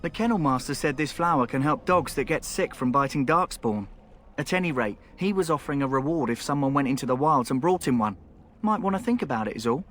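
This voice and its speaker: A man's voice: mid-range voice, expressive, a little fast, fairly bright tone, a little hiss or hum, natural voice. A man voicing a cheeky, plain-spoken rogue recruit in a video game, colloquial and sardonic. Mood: casual, sly.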